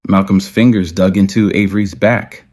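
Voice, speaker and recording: A man narrating scripted romance fiction in a measured, deliberate way with dramatic pauses. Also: low-pitched, level tone, a little mellow. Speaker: audio story narrator.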